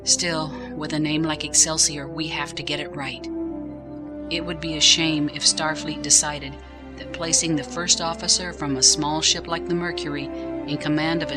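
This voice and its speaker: A woman playing a dutiful starship captain in an audio drama, with a dry, measured delivery. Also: deep voice, natural voice. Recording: music behind the voice.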